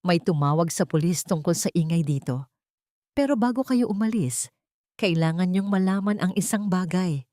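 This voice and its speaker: Lady narrator doing a scared woman warning someone, urgent, low voice, dry audio drama. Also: moderate pace, mellow, no room sound, lightly acted. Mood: frightened, urgent. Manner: fearful, urgent. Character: frightened, urgent woman helper bearing warnings.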